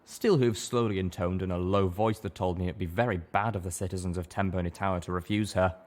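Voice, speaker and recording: A man reading a story aloud as an audiobook narrator, in expressive scripted narration. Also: deep voice, a little fast.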